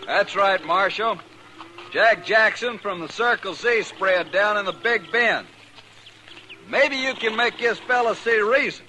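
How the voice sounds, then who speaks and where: A man's voice: high voice, unhurried, pushed and bright, slight background noise, exaggerated voice. An actor playing a proud, desperate cattleman, angry and pleading, in a 1950s radio drama.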